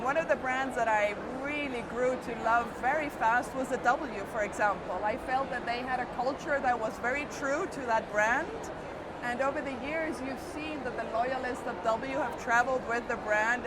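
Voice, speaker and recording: Middle-aged woman from Spain, high voice, loud noise behind, conversational storytelling. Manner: warm, fluent storytelling with fillers. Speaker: hotel company executive. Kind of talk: conversational interview. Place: busy trade show floor. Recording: loud background noise at a trade show.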